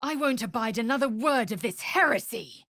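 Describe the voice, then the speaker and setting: A woman playing a fierce, proud, disdainful young githyanki warrior in a video game, speaking in a husky, breathy, imperious and clipped way. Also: on the high side, expressive, fairly bright tone, no room sound, clean studio sound. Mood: furious. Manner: imperious, clipped, deliberate, formal.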